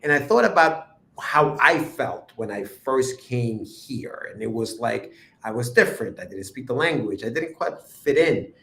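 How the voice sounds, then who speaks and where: A man from Ecuador talks casually as a guest on a remote call, and his voice sits at an ordinary middle pitch. He rambles easily and lets his sentences fade off. The room around him is audibly echoey. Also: lively intonation, a little slow, gravelly, few pauses, pushed and bright. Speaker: guest cartoonist. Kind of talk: casual interview chat. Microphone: remote livestream call.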